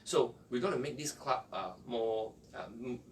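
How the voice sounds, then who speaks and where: A man with a Malaysian accent and Chinese as his first language speaks as an interview guest. His English is halting and conversational. The voice sits away from the mic, with faint noise behind it. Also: mid-range voice, lively intonation, distant mic. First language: Chinese (Cantonese and Mandarin). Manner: halting, non-native English phrasing. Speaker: interview guest, entrepreneur and former radio announcer.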